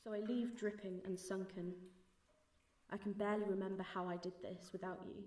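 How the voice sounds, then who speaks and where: A woman's voice: mid-range voice, level tone, normal speed, darkish tone. A woman reading aloud in a spoken-word poetry recital. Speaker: poetry reader.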